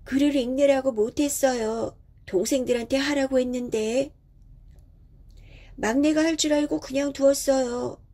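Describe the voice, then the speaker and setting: A woman's voice: high-pitched, steady tone, moderate pace, warm tone, voice pushed up. A woman voicing a sweet young daughter in a storybook tale, with a girlish, earnest, polite delivery. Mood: offhand. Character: sweet, dutiful young daughter of village father.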